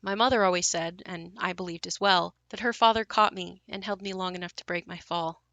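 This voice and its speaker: An actress plays a young woman narrating memories of a haunted house in an audio drama. Her voice is clear-edged and bright, recorded close and dry. She confides in a matter-of-fact, wry and reflective way. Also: lowish voice, steady tone, a little fast, no echo. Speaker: narrator and lead of an audio play. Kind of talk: first-person dramatic monologue.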